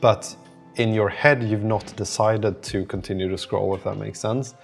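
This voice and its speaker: A man's voice: on the low side, fairly even intonation, normal speed, gravelly, soft background music. A man talking casually in a vlog, thinking aloud in a rambling, reflective way and often trailing off mid-thought. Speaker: app developer documenting his work on a vlog.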